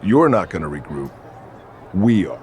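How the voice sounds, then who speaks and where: Deep, stern veteran wrestling boss. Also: male voice, sing-song, moderate pace, a little mellow, a little hiss or hum. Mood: stern, curt. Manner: curt and authoritative. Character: stern, commanding veteran male wrestler leading a faction. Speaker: wrestler and faction leader. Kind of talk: scripted video game cutscene acting.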